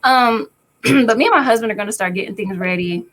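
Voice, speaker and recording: A woman with a raspy voice co-hosting a casual live spiritual chat, relaxed and chatty. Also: on the high side, a little fast. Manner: relaxed, chatty, often laughing.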